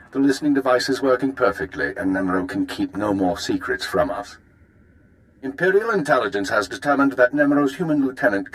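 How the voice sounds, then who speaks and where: A man's voice: fairly low pitch, natural voice, rough recording. Man playing a cool, commanding spymaster. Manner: formal, precise, authoritative. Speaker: voice actor in a game. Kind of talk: video game cutscene acting.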